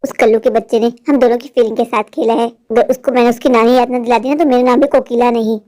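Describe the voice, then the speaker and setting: A woman's voice: on the high side, fairly even intonation, fast talker, a little mellow, pitched below the performer's own voice, close to an everyday voice. A voice actor playing a scheming middle-aged mother who talks sweetly to others and makes sly, threatening asides in a cartoon. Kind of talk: cartoon voice acting.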